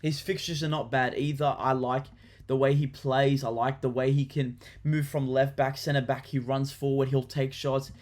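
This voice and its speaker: A young Australian man talks through his fantasy football picks in an easygoing, chatty vlog. His voice sits at an ordinary middle pitch, and his delivery is enthusiastic, loose and full of ums and quick restarts. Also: flat delivery, darkish tone. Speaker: football vlogger. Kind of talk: casual fantasy football chat to camera.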